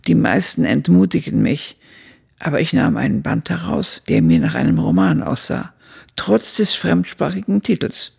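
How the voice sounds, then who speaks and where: An actress playing an aged woman who reflectively narrates memories of her youth in a radio drama, her voice husky and gravelly. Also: deep voice, sing-song, moderate pace, darkish tone, exaggerated voice. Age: older.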